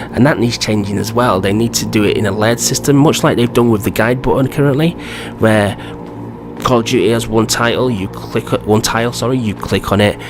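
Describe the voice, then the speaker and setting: A man with a hoarse, croaky voice from a cold, giving rambling, opinionated commentary in a casual vlog. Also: lowish voice, noisy background. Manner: rambling, repeats "do you know what I mean". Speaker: gaming video creator.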